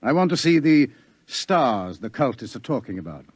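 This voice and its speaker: Old-radio scientist type, up and down in pitch, dry sound, kinda halting. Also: male voice, very animated, breathy edge, no echo. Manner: hesitant, explaining. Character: male professor, scientist, wry and grave. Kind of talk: 1950s radio drama acting.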